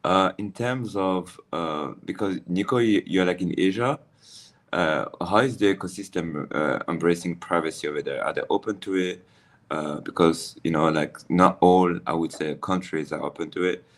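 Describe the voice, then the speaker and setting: A man's voice: low-pitched, moderate pace. A man hosting a casual interview over a video call, repeating phrases with loose grammar. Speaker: host.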